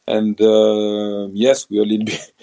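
A man in his thirties with a French accent gives a relaxed interview. His voice sits low and sounds dark and mellow. He speaks haltingly, restarting phrases and repeating words. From France. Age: 30s. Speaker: guest, ex-footballer. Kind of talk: casual interview.

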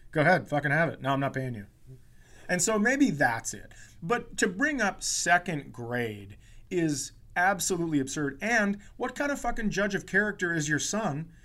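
A man's voice: fairly high pitch, sharp tone. A man in his forties telling comic stories as a podcast host, in an exasperated, profane, ranting manner. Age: 40s. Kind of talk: comedic storytelling in casual chat.

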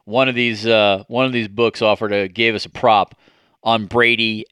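Bright-voiced rambling podcast host. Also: male voice, lowish voice, flat delivery, normal speed, clean tone, dry recording, clean studio sound. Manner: rambling, lots of "like" fillers. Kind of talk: casual sports chat.

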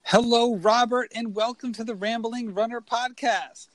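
A man's voice: very high-pitched, steady tone, unhurried, no room sound, rough recording. A man hosting a running podcast, talking enthusiastically and rambling a little as he introduces and interviews his guest. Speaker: podcast host. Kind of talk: casual interview chat.

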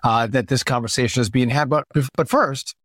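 A man's voice: medium pitch, moderate pace, fairly bright tone, dry recording, studio quality. A man hosting a casual political chat podcast, stuffy with a runny nose, walking through poll numbers with many fillers. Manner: talks through numbers with frequent fillers and restarts. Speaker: podcast host.

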